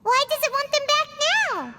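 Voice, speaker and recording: A squeaky, excitable little fairy companion for a video game, her very high voice leaping all over the place. She reacts quickly and anxiously to everything she hears. A long reverb and game music sit behind her. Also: very noisy, full character voice. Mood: curious. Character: small floating female fairy companion, chirpy.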